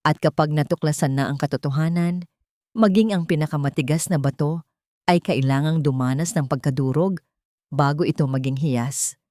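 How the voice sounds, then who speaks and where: A woman's voice: low voice, normal speed, mellow, no room sound, studio quality, lightly acted. A woman with a Filipino accent voicing a mysterious, bitter elderly stranger giving cryptic warnings in an audio drama. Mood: grave warning.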